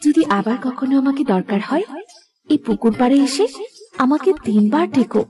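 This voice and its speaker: A woman's voice: high-pitched, lively intonation, moderate pace, mellow. A woman voicing a kind, benevolent female pond spirit in a cartoon fairy tale, soothing and reassuring. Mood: grateful, kind.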